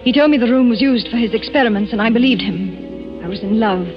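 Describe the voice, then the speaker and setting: An actress playing a young, trusting but anxious doctor's bride in a 1940s radio drama, earnest and questioning. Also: female voice, a little high, quick pace, lightly acted. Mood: uneasy. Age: young adult. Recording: muffled 1940s radio, organ music behind.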